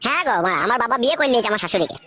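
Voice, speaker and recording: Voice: medium pitch, expressive, rapid-fire, pushed and bright, slight background noise. A performer voicing a resentful young married son in a comedy cartoon, complaining coarsely. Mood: sheepish. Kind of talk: village comedy cartoon dubbing. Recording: music behind the voice.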